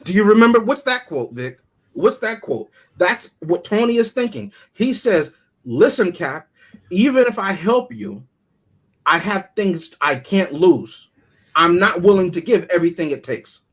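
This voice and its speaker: A man with a high, rough-edged voice debates casually on a film review panel. He is challenging and argumentative, repeating phrases to drive them home. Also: animated, measured pace. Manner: challenging, emphatic repetition.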